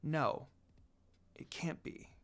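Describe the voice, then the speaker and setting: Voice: very slow, a bit bright. A reader voicing a sad, defiant young woman from a fan story in an emotional, dramatic way. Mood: disbelieving, nervous.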